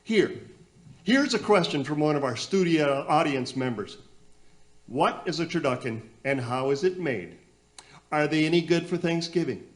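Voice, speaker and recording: A flustered young nephew played by a man struggles to co-host a comedy radio play. His voice sits fairly high and leaps about in pitch. He stammers with long-suffering exasperation. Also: animated, moderate pace, lightly acted. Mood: exasperated. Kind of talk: community theater radio comedy acting.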